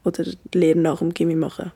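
A woman's voice: fairly low pitch, little pitch movement, quick pace, gravelly, warm tone, slightly rough. A sixteen-year-old girl with a Swiss German accent in casual interview talk. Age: 16, teenager.

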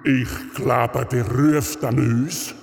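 Slow, booming-echo giant. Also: male voice, steady tone, gravelly. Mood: sly, scornful. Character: friendly, reassuring, mischievous male giant. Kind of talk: children's radio play. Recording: long reverb, music behind the voice.

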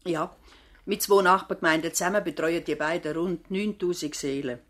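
Actress as a lowish-voiced, plain-spoken doctor's housekeeper. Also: female voice, fairly even intonation, normal speed, slight background noise, natural voice. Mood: conversational. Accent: Swiss German. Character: long-serving female practice assistant and housekeeper. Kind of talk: radio drama acting, conversational.

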